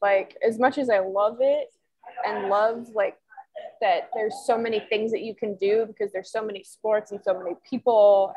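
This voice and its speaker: A woman's voice: medium pitch, a little mellow, rough recording. Chatty young woman with lots of fillers. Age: 20s. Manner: chatty, rambling, many fillers. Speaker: sports columnist. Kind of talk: casual interview answers.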